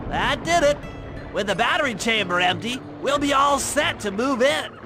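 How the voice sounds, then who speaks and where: Very high-pitched nerdy cartoon brainiac. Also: male voice, animated, normal speed. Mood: earnest, instructive. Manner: precise, technical, explanatory. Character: brainy, earnest, nerdy male gadget expert cartoon animal. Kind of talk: video game cutscene voice acting. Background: background music.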